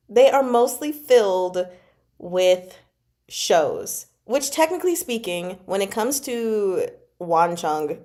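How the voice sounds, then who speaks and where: Female book-club host, casual and gushing, slangy, highly varied intonation, leisurely pace with dramatic emphasis. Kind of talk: casual fan chat recapping a novel.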